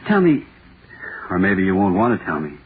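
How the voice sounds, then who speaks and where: An actor playing a nervous, overworked male author in 1940s radio drama, halting and muttering to himself. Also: low-pitched, big pitch swings. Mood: uneasy, musing. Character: jittery, overworked male horror-play author, restless and self-doubting. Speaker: radio playwright dictating a script. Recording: scratchy, noisy, tinny old radio broadcast.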